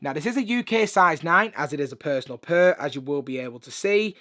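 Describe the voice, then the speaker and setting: A hoarse man, chatting upbeat through a sneaker review in a room full of shoes. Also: on the high side, animated, fast, a bit bright. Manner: chatty, upbeat, lots of filler phrases. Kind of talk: casual video review. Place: room with shoe collection.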